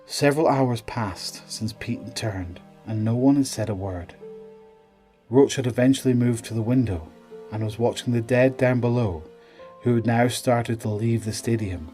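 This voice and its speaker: A man's voice: medium pitch, moderate pace, dark tone, faint music behind. A man giving dramatic scripted narration for an audio drama.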